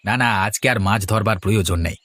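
A man playing a caring village fish farmer in a cartoon, folksy and gently cautioning. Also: lowish voice, fast, close to an everyday voice. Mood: caring, practical. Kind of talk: cartoon dialogue. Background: instrumental music.

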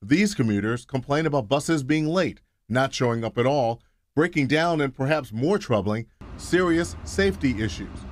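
A middle-aged man reporting an investigative news story in a measured, emphatic scripted delivery. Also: medium pitch, animated, slight background noise.

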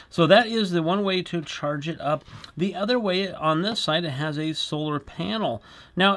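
Middle-aged man, higher-pitched voice. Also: fairly bright tone. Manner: chatty, explanatory. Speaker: product review host. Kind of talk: casual product demonstration to camera.

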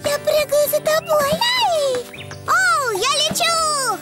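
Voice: extremely high pitch, lively intonation, slow, over music, full character voice. A performer voicing a sweet, friendly little girl donkey in a preschool cartoon, in a childlike, exclamatory manner. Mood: playful.

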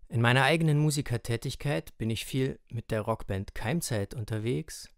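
A man's voice: medium pitch, normal speed, clear, resonant tone, no echo, clean studio sound. A man from eastern Germany, a musician, giving a prepared self-introduction. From Halle, eastern Germany. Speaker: jazz trumpeter.

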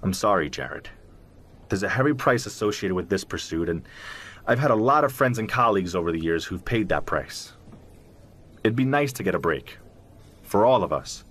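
An actor playing a sympathetic, cautious zoologist friend in an audio drama, conversational and probing. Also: male voice, low voice, lively intonation, normal speed, long pauses, fairly bright tone, breathy edge, exaggerated voice.

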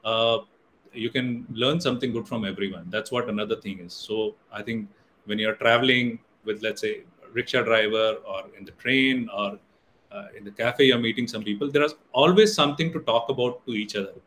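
A man's voice: on the low side, fairly bright tone, slight background noise, poor audio. Indian man lecturing over a video call. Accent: Indian English. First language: Hindi. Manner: reflective, halting, many fillers and restarts. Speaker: guest lecturer. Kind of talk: online guest lecture. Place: indoor room on a video call. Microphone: webcam or laptop mic on video call.

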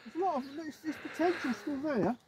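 A man chats casually in a distinctly high voice. He talks quickly, doubling back on his words as he thinks aloud. Faint outdoor noise sits behind him. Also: a little mellow. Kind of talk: casual chat. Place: outdoors by a grassy field. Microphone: camera a few feet away.

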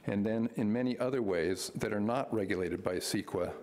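A man in his sixties giving a public comment at a county board meeting, speaking in a measured, hesitant way with many fillers into a podium microphone. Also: lowish voice, a little mellow.

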